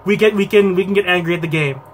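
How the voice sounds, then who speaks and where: A man's voice: high voice, very fast, husky. A man hosting a game playthrough, chatty and giggly, talking with his guests. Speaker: let's play host. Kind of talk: casual game commentary.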